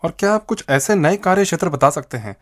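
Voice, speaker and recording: A man's voice: a little high, animated, fast talker. A man asking formal, prepared questions as an interviewer.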